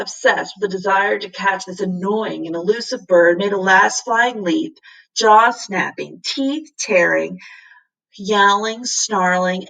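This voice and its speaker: A woman's voice: medium pitch, vocal fry, bright, forceful tone, husky, recorded from a distance, poor audio. A woman reading a children's story aloud with dramatic expression, as a teacher does for a class. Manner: dramatic, acts out the characters' lines.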